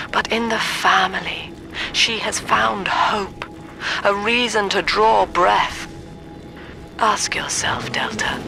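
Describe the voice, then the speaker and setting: A cold, middle-aged woman cult leader in a video game. She speaks slowly and formally, with cultured reproach, over clearly audible music. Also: lowish voice, rough recording. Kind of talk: video game villain monologue.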